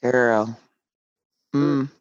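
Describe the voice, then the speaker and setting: Hoarse woman, co-host, slow and emphatic, dry close sound. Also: a bass voice, steady tone, dry recording, highs cut off. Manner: emphatic, drawn-out, folksy asides like "girl" and "honey". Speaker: podcast co-host. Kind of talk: casual chat between sisters. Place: home in a city in Washington State.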